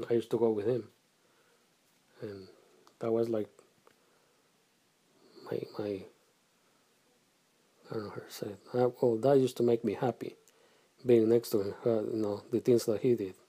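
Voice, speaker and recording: Very dark-voiced man, halting. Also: mid-range voice, flat delivery, breathy edge. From Guatemala. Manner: halting, hesitant, soft-spoken, with pauses and restarts. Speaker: interviewee, father. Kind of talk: casual family interview.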